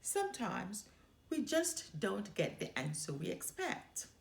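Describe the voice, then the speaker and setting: A woman voicing a calm, thoughtful boy bear with a gentle, simple manner. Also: medium pitch, slow, creaky, heavily acted. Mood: reassuring. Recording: roomy echo.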